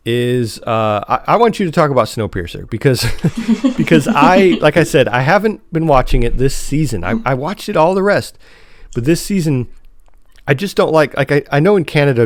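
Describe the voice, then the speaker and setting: A man around his forties with an American accent, co-hosting a podcast in an informal, halting way as he thinks aloud. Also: mid-range voice, a little hiss or hum. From United States. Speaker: podcast co-host. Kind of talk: casual conversational review chat.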